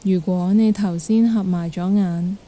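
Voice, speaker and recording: A woman with a Hong Kong Cantonese accent guiding a meditation in a gentle, calm manner. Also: fairly low pitch. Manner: gentle, calm, unhurried instruction. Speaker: guided meditation instructor. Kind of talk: guided meditation narration.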